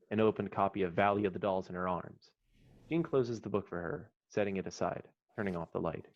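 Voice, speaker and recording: Low-voiced man reading screenplay stage directions. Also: darkish tone. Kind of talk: scripted screenplay table read narration. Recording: dull lo-fi online call audio.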